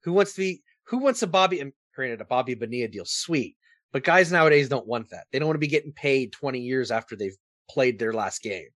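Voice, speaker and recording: A man's voice: medium pitch, animated, a little fast, a bit bright, no echo, clean studio sound. An American man co-hosting a casual sports podcast, opinionated and fond of putting rhetorical questions.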